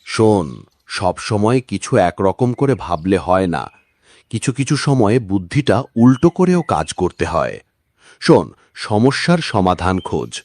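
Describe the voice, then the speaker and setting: A man acts out a kindly old village elder for a cartoon. His low voice rises and falls freely as he hands out advice. The sound is close and dry. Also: sing-song, normal speed, darkish tone, no echo. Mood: calm, advising. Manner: counselling, instructive. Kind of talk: Bengali cartoon voice acting.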